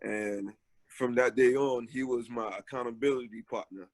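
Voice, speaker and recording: Man, 40s, interview guest on a call, laid-back storyteller, dry close sound, middle pitch. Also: moderate pace, no room sound. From Haiti, raised in Brooklyn, New York. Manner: relaxed, many filler ums, storytelling. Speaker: author guest. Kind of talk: casual interview talk. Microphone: video call.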